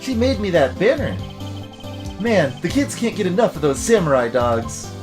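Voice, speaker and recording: A woman voicing a goofy, excitable young man who talks in boastful slang and stammers when flustered, in video game dialogue. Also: fairly low pitch, normal speed, over music. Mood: breezy, boastful. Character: goofy, excitable young man, slacker hot dog vendor. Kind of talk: voiced visual novel game dialogue.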